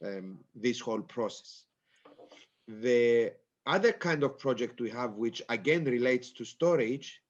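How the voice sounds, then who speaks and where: A man with a stuffy, blocked-up voice explains his points on a panel, pausing often and restarting his sentences. His voice sits in an ordinary middle pitch. The sound comes through a dull, lo-fi video call. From Cyprus. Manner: halting, many fillers and restarts, plain explaining. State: stuffy nose. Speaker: panel speaker. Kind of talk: conference panel presentation.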